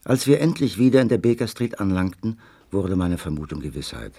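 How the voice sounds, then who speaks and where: Inquisitive gentleman companion, radio crime drama, earnest storytelling, rough-edged voice, very animated intonation. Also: low voice, brisk, heavily acted. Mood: brooding narration. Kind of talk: German radio crime drama, narration and dialogue.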